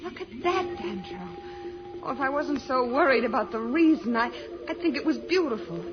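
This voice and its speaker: An actress playing an earnest, curious and anxious space heroine, eager and pleading, in an old-time radio serial. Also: female voice, sits high, expressive, moderate pace, background noise. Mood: anxious, watchful. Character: earnest, anxious, curious young woman space adventurer from Earth. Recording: dull old radio recording with music.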